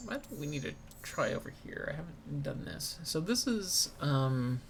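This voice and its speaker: A woman's voice: low voice, very animated, normal speed, a little hiss or hum. A woman giving casual commentary as she plays through a video game. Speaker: let's play host.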